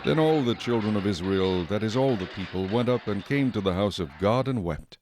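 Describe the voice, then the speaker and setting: A man giving solemn, measured scripted narration for a dramatized Bible recording. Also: on the low side, expressive, a little mellow, a little hiss or hum.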